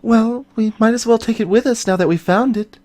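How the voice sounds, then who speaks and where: A woman's voice: a little high, fairly quick, warm tone, natural voice. Perky young sidekick voiced by a woman. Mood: breezy. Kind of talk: fan-voiced video game dialogue.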